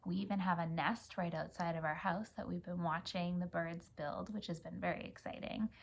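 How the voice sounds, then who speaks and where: An American woman reading a picture book aloud for children and chatting gently, often prompting a young child with questions. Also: fairly low pitch, fairly even intonation, moderate pace, creaky, a little hiss or hum. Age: adult. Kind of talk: children's story read-aloud with gentle chat.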